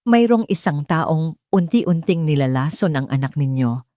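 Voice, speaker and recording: A woman voicing a serious, worried hospital doctor in an audio drama, speaking politely and gravely. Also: sits low, lively intonation, moderate pace, mellow, no echo, studio quality. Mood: grave concern.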